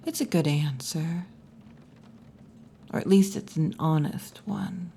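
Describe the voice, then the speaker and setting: Hushed, low-voiced wolf girl bartender, acted by a woman. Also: a little hiss or hum, heavily acted. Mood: relaxed, warm. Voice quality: breathy, mostly whispered or half-whispered. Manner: slow, hushed, teasing, with long pauses. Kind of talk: ASMR roleplay, intimate scripted monologue. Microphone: close ASMR microphone.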